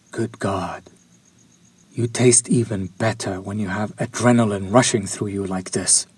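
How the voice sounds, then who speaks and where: Man playing a husky, slow-spoken courtly vampire. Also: lowish voice, steady tone, a little mellow. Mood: hungry, breathless. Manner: formal, old-fashioned, apologetic politeness. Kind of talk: intimate audio roleplay addressed to listener.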